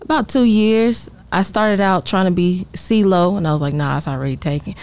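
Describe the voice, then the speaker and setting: A woman's voice: mid-range voice, normal speed, noisy background. A young woman, chatting casually as an interview guest, close on a podcast mic. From Marshall, Texas, USA. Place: small indoor podcast studio. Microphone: close podcast mic on stand.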